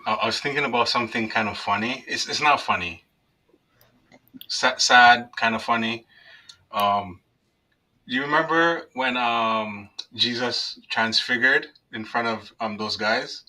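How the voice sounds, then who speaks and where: Forties Canadian man, very bright sharp tone, jokey guest. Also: medium pitch, measured pace, low-quality recording. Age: 40s. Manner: joking, affectionate, loose. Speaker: guest on a live stream. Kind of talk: casual chat.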